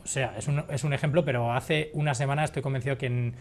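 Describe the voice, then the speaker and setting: A man reporting in a studio news conversation, explaining with measured hesitations. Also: medium pitch, fairly even intonation, slight background noise.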